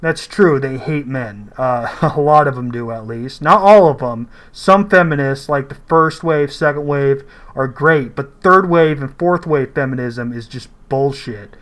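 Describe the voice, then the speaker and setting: A man's voice: medium pitch, a little slow, hardly any pauses, a bit bright. A man giving blunt, argumentative, off-the-cuff reaction commentary in a casual style, swearing as he goes.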